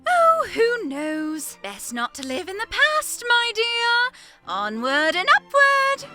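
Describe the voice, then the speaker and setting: A poised fairy queen, very high and extremely melodic, speaking slowly over prominent music. She is stately, formal and graciously encouraging. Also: huge pitch swings, exaggerated voice. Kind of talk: comic dub voice acting.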